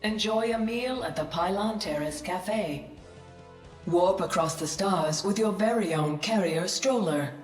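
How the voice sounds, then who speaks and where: An actress voicing a composed military computer adjutant, making formal, dutiful announcements in a video game. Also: female voice, mid-range voice, measured pace, natural voice. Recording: echoey, with faint music behind.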